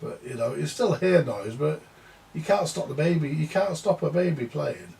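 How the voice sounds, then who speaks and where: An older man with a rough, gravelly voice sitting a touch high for his age. He rambles through a casual monologue, grumbling, circling back and repeating himself, with the odd swear word thrown in. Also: lively intonation, moderate pace, a little mellow. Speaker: vintage audio repairer.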